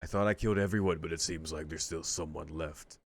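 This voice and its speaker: A man voicing a menacing, inhuman villain in a visual novel, speaking slowly and contemptuously in a deep voice. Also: steady tone. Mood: cold, detached. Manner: slow, contemptuous.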